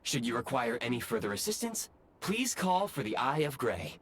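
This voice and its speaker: A man's voice: mid-range voice, very animated, normal speed. A man voicing a formal, dryly condescending train autopilot for a video game, precise and clipped in his wording. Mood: formal, matter-of-fact. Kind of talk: video game character acting.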